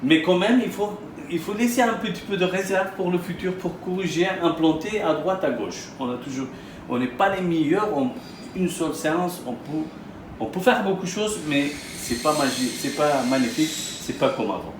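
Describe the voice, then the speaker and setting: A man who is not a native speaker, explaining as a surgeon in a halting, repetitive consultation style. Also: on the high side, lively intonation, background noise. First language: not French. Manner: halting, repetitive phrasing in non-native French. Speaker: hair transplant surgeon presenting a patient. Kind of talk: explanatory consultation talk, interviewing. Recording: echoey room with loud guitar music. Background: guitar music.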